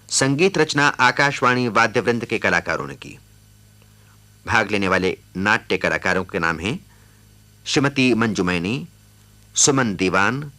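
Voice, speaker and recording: A man working as a radio announcer, reading scripted credits in a formal, measured manner. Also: lowish voice, pushed and bright.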